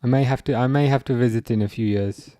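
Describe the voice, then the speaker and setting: Young english guy rambling through a football stream, mid pitch, dry close sound. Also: steady tone, fast, mellow, no room sound. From England. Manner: rambling, repeats words and restarts, reads chat aloud. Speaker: livestream host. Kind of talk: casual football livestream chat.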